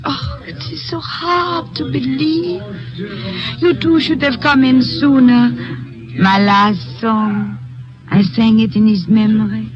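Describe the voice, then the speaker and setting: An actress playing a glamorous, grieving café singer who speaks with tremulous, formal phrasing in a 1950s radio drama. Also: female voice, sits high, steady tone, slow. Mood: mournful. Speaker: nightclub singer. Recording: dull old radio recording with music.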